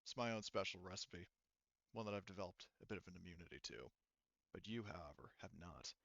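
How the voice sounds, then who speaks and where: Man playing a quiet, smug villain doctor. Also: low voice, lively intonation, a little fast, vocal fry, no echo, muffled recording, pitched below the performer's own voice, full character voice. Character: calm, smug villain doctor boasting of his poison.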